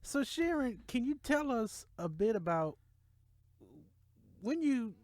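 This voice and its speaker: A man's voice: very high voice, sing-song, slow pace, darkish tone, no echo. An American man hosting a conversational radio interview, enthusiastic and encouraging with his guest. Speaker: radio show host, interviewer. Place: radio studio. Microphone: studio microphone.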